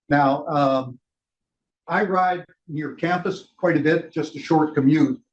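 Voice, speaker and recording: An older man leading a casual cycling training session, speaking loudly and chatting with the group. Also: a little high. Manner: loud, chatty, laughs between points. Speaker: ride leader trainer. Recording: roomy, dull lo-fi room recording.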